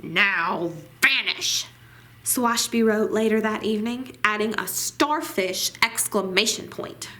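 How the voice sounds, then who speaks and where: A woman reading a children's picture book aloud at storytime, with deliberate, dramatic phrasing. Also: fairly high pitch, a bit bright. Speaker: library storytime reader.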